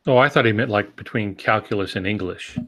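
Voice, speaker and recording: A man with a fairly low voice talks as a co-host in a casual panel discussion. He explains through examples, feeling his way forward in a halting, measured manner. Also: a bit bright. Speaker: podcast co-host.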